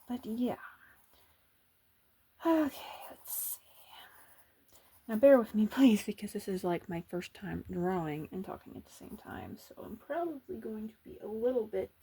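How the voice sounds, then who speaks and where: Woman, soft-spoken ASMR artist; husky, breathy tone, hesitant and thinking aloud. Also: medium pitch, sing-song, a little slow, pauses often. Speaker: artist drawing on camera.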